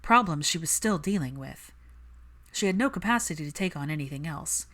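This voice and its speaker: Female fiction narrator: slightly low register, lively melodic range, expressive story reading. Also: fairly quick. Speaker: audiobook narrator.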